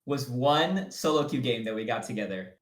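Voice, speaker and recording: Excitable young man telling stories. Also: medium pitch, moderate pace. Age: young adult. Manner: animated, excitable storyteller. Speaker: college esports player guest. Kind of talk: casual chat.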